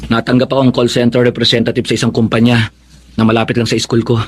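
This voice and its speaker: A man's voice: medium pitch, completely flat delivery, quick pace, breathy edge, lightly acted. An actor plays a student younger brother in a radio drama. His voice is mid-range and youthful, with an emphatic, earnest delivery that is blunt yet loving. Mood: earnest, affectionate. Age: young adult. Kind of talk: Tagalog radio drama acting.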